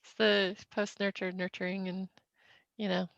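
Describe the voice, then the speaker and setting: A woman's voice: medium pitch, level tone, normal speed, vocal fry, a bit bright, dry recording, dull audio. A middle-aged woman from Utah chatting casually on a podcast, laughing often. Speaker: podcast guest. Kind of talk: casual chat.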